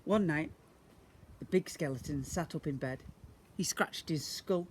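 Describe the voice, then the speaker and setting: A man reading a storybook to young children, theatrical, with deliberate pauses and repetition. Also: a little high, a little hiss or hum.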